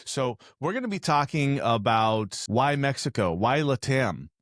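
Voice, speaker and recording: A man hosting a relaxed interview show, talking in a loose, rambling way with quick jokes. His voice sits at an ordinary middle pitch, and it is recorded close and dry with no room sound. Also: no echo. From Southern California. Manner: joking, chatty, rambling. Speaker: podcast host. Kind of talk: casual interview chat.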